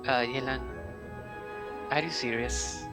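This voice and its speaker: Very slow-talking man streaming over loud music. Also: mid-range voice, fairly even intonation. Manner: halting, musing aloud. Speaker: game streamer. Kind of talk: casual live gameplay commentary. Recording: loud game music behind the voice. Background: video game music.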